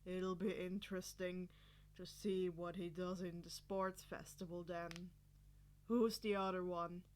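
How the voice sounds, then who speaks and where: Woman putting on a low, slow, deadpan man. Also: natural voice. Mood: curious, dry. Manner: nonchalant, deadpan, low-key. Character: weary, nonchalant, deadpan man, a reserved husband.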